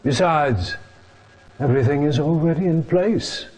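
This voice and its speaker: A man's voice: a little high, unhurried, darkish tone, husky. An actor playing a scheming, imperious male chairman, smug and formal, in a video game cutscene. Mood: smug, calculating.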